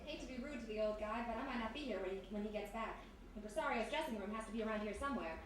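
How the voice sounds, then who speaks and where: A woman playing a brash, quick-witted young ex-vaudevillian sleuth in a live radio mystery play. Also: on the high side, natural voice. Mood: sly aside. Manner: sassy, slangy. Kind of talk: 1940s radio mystery acting, live on stage. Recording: very echoey live room, distant mic, faint noise.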